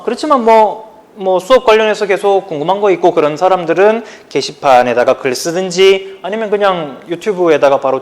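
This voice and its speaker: A man with a Daegu accent, teaching a high school history class online in a relaxed, chatty way. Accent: Gyeongsang (Daegu) Korean. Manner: chatty, informal, talks to students directly. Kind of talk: casual online lecture.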